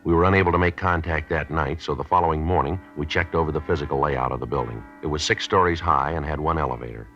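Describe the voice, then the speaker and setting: A man's voice: extremely low pitch, fast, few pauses. An actor playing a stoic police sergeant who narrates his case in a clipped, matter-of-fact radio drama style. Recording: dull vintage radio recording, faint music.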